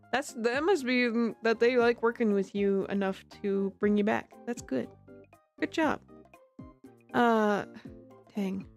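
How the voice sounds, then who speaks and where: A woman chatting casually on a game livestream, giggly, rambling and self-deprecating, speaking close to the microphone. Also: on the high side. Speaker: livestreamer, amateur voice actor. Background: music.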